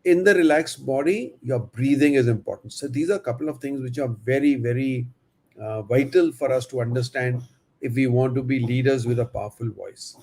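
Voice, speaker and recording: A man's voice: mid-range voice, brisk, dark tone. A man hosting a live interview, telling anecdotes in a loose way with frequent fillers. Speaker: host.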